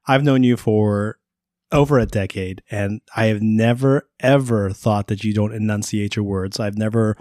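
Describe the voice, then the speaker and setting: A man's voice: lowish voice, steady tone, normal speed, darkish tone, no room sound, studio quality. A man in his thirties co-hosting a podcast in casual chat, talkative and reassuring, often laughing. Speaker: podcast co-host.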